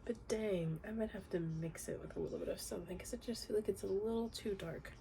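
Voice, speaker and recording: A woman's voice: medium pitch, fast, creaky, slight background noise. Congested young woman chatting about makeup. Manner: chatty, relaxed, many fillers. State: congested, nasal from a cold. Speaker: beauty vlogger. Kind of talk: casual makeup tutorial chat. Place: home, indoors.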